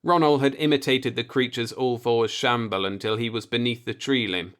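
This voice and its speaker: A male audiobook narrator with a medium-pitched voice reads scripted fiction. He delivers descriptive science fiction prose as a storyteller. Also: fairly even intonation, normal speed, a bit bright, smooth.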